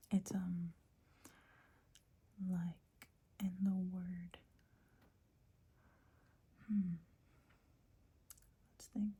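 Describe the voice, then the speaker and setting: Breathy woman, slow ASMR-style whispered lesson, dark and mellow tone. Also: fairly low pitch, slight background noise. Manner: whispering, hesitant, searching for words. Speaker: teacher.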